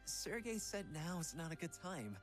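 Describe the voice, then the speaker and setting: A man's voice: a little high, lively intonation, measured pace. Earnest young male idol, music behind. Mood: tender, hesitant. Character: gentle young male Korean pop idol, devoted fiancé. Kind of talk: visual novel voice acting.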